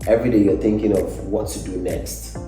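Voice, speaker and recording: Nigerian man, young adult, low and mellow, relaxed and thoughtful; echoey room, distant mic, faint music. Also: a little fast. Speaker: guest. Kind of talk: casual interview chat.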